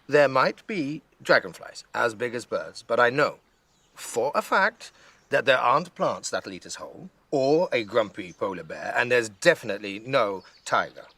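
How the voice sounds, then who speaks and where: A man voicing a stubborn, sceptical little girl in a storybook, with an emphatic, expressive manner. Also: mid-range voice, normal speed, breathy edge, heavily acted. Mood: exasperated.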